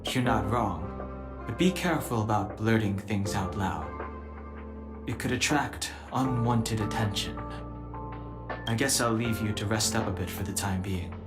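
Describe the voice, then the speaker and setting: A man's voice: lowish voice, a little slow, close to an everyday voice. A man voicing a reserved, cautious young crewmate in a game dub, speaking carefully. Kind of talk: English game dub voice acting. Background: loud game music.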